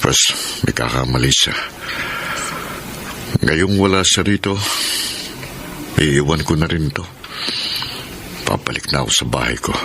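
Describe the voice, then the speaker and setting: A man's voice: extremely low pitch, expressive, unhurried, creaky, pauses often, a bit bright, full character voice. A man with a Filipino accent playing a hurt, protective middle-aged stepfather in a radio drama, speaking earnestly. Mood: regretful, brooding. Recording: noisy radio drama track with ambience.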